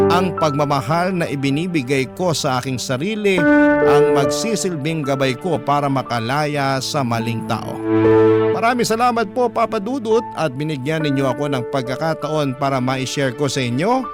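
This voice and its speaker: A Filipino man narrates a first-person letter in emotional radio storytelling style. His mid-pitched voice is earnest and reflective, with instrumental music prominent behind it. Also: lively intonation, moderate pace. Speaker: radio drama host and narrator.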